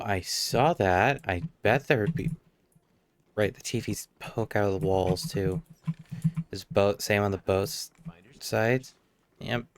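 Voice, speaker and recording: A man's voice: lowish voice, gravelly. A man giving casual live gameplay commentary as a streamer, in an offhand, muttering way. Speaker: game streamer.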